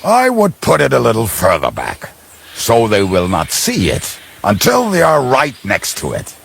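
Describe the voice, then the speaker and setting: Gravelly, animated boozy ruffian. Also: male voice, medium pitch, unhurried, slight background noise, exaggerated voice. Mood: grumbling. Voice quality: gravelly, husky. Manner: rambling, animated, cheeky. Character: boozy middle-aged ruffian, cheeky schemer. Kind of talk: video game character acting.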